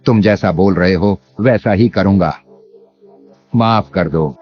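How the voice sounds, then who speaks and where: An actor playing a greedy, cowardly landlord villain in an animated moral story, exaggerated and theatrical. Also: male voice, deep voice, slow pace. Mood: terrified, pleading. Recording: dull lo-fi audio. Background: music.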